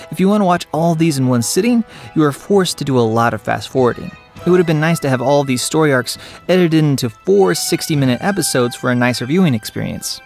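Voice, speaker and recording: Male review host, mid-pitched, with light background music. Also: gravelly. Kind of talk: entertainment review, part to camera, part scripted narration. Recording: music behind the voice.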